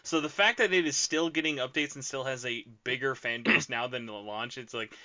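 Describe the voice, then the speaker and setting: A man's voice: mid-range voice, fairly quick, pushed and bright. Rambling male podcast host. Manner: rambling, filler-heavy questions. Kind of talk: casual podcast interview. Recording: dull lo-fi podcast audio.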